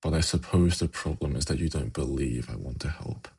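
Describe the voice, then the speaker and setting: A man playing a suave, haughty demon prince in an ASMR fantasy roleplay, speaking in a measured, formal, silkily condescending way with a gravelly, husky voice. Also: rumbling low, darkish tone, exaggerated voice. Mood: soft, coaxing.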